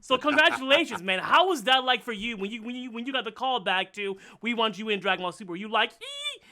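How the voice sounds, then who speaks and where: Male host, very high and piercingly bright voice, eager fan energy. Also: fast talker. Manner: excitable, gushing fan. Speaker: podcast host. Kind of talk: casual interview chat.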